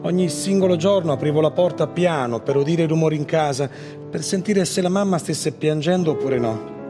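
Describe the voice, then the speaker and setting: A man's voice: on the high side, a little fast, over music, close to an everyday voice. A man playing an anguished young woman haunted by war loss, in an intense theatrical monologue. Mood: bleak, fearful. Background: musical instruments.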